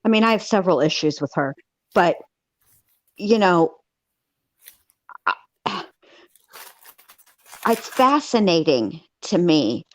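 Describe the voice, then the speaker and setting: A woman's voice: lowish voice, very animated, slow pace, pauses often, dry recording. A woman co-hosting a discussion, commenting casually in an emphatic, analytical way. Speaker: co-host of a true crime recap show.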